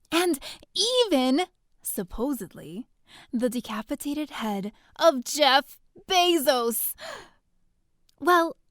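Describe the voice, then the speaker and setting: A high, closely recorded, dry voice tells a space-opera story as a chatty young heroine. She is theatrical and easily exasperated, sardonic in her asides and bookish at heart. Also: fairly bright tone, no room sound. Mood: reminiscing. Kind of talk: first-person scripted audio drama narration.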